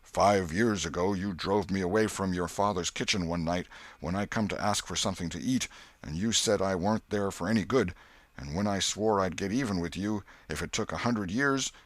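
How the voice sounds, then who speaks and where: A man voicing a vengeful, murderous villain nursing an old grudge, in threatening rough rural dialect, from a storybook. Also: deep voice, moderate pace, dry recording. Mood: menacing, bitter.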